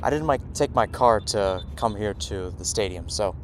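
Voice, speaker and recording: A man's voice: lowish voice, lively intonation, moderate pace. A man doing live soccer play-by-play for radio from beside the field, mixing clipped calls with rambling asides. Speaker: play-by-play commentator. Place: outdoors at a youth soccer field. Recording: noisy outdoor ambience, traffic hum.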